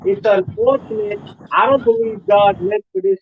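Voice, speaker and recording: A man in his forties with a notably high-pitched voice talks in an easy, conversational way. He repeats his phrases to hammer home a point, like someone preaching to a friend. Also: moderate pace, narrow, tinny audio. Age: 40s. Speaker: host. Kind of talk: casual chat.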